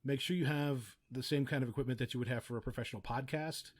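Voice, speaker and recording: A man's voice: mid-range voice, steady tone, fast talker. A man speaking casually as a podcast guest, explaining audio production in chatty, meandering asides. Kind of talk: casual interview chat, giving practical advice.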